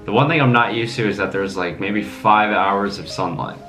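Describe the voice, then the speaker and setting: A man's voice: on the low side, moderate pace, pushed and bright. A man in his twenties from New York City with an American accent, chatting casually and bluntly, with some swearing. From New York City, USA. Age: 20s. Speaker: guest, host's boyfriend. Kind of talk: casual chat. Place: small home kitchen, indoors. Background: music with plucked guitar.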